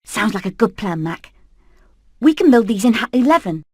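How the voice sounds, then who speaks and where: A British woman playing a determined, plucky hen in an animated video game, speaking in a brisk, earnest, rallying way. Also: mid-range voice, breathy edge, no room sound, studio quality. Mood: brisk, planning. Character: determined, plucky female hen leading an escape plan.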